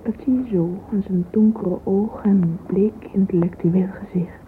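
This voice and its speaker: A woman's voice: mid-range voice, fairly even intonation, moderate pace, lightly acted. An actress playing a dreamy, yearning, romantic young woman in a radio drama monologue. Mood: yearning, fascinated. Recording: thin phone-like old radio recording, noisy.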